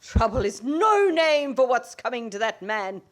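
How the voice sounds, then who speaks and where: A woman's voice: fairly high pitch, sing-song, measured pace. A woman playing a meddling, melodramatic married battle-axe in a comic radio play, indignant and accusatory in manner. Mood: outraged, vengeful. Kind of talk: stage comedy performed as radio play.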